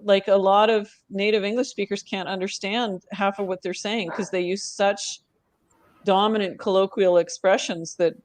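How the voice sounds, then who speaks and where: A woman's voice: medium pitch, fairly even intonation, moderate pace, dry recording. A woman, a podcast guest, talking in a casual, thoughtful way and laughing often. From Canada. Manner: warm, thoughtful, laughs often, frequent restarts. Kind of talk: casual interview chat.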